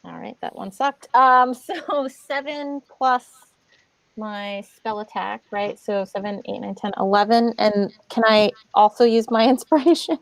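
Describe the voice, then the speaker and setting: A woman's voice: a little high, a little slow, gravelly, no echo, low-quality recording. A woman talking casually as a player in an online tabletop game, speaking close to the mic.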